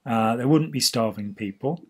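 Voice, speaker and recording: A man explains history in a relaxed chat. His voice is husky and a little hoarse, at a middle pitch. He is recorded by a fixed camera in a small indoor room. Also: moderate pace. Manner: gestures freely while explaining. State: slightly hoarse. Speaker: host.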